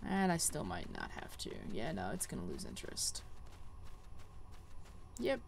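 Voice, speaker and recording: A woman's voice: very deep voice, wildly swooping pitch, a little slow, vocal fry, a little hiss or hum. A woman giving casual let's-play commentary as a gaming host, rambling and easily sidetracked.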